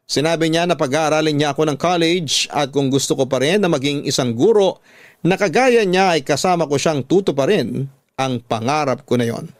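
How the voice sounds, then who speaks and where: A man's voice: on the high side, moderate pace, dry recording. A man with a Filipino accent reading a scripted, dramatic first-person life story as a radio storytelling host.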